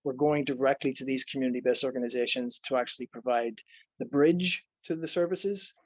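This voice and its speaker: A man's voice: mid-range voice, a little fast, dry recording, low-quality recording. A man from Ireland, presenting as a nonprofit program director in a webinar, earnest and explanatory, with frequent fillers and restarts.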